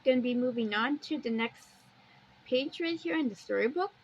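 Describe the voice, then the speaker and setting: A woman's voice: high voice, animated, moderate pace, breathy edge, muffled recording. A woman giving a casual amateur video walkthrough, plainly describing pictures and wandering off into personal asides.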